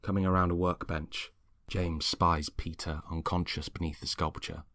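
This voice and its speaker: A man's voice: sits low, flat delivery, moderate pace, a bit bright, no room sound, studio quality. A man reading a scripted story aloud as a dramatic narrator. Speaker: story reader.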